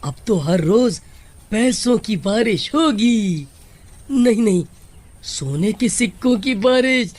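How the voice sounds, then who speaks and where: A woman's voice: mid-range voice, animated, slow, darkish tone. A woman voicing a greedy, cowardly male village farmer in a Hindi cartoon, with a wheedling, exaggerated manner. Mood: greedy glee.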